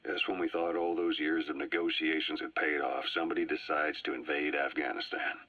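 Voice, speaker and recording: A dull, echoing cassette tape carries a composed Soviet spy from a video game. His husky voice recounts history slyly and deliberately, pausing for drama. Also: a bass voice, level tone. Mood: grave. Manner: measured, deliberate, with dramatic pauses. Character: sly, composed Soviet spy gunslinger, a measured storyteller. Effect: cassette tape filter.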